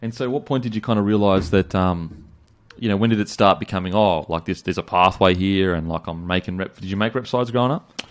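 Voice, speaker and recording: Young aussie guy, lowish voice, chill swearing banter podcast host. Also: fairly quick. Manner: swearing, laid-back banter. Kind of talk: casual interview chat.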